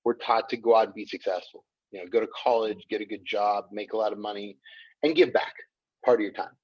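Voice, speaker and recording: A man's voice: on the low side, brisk, husky, muffled recording. A man hosting an interview show, enthusiastic and affirming toward his guest. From United States. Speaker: podcast host. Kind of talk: conversational interview.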